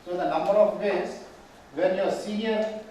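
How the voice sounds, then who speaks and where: A man's voice: high-pitched, unhurried, a little mellow, distant mic. A man, teaching a mediation class and often asking the room questions. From Tamil Nadu, India. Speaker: mediation trainer. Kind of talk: classroom lecture. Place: large echoey training hall. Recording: very echoey hall, speaker off mic, faint room hum.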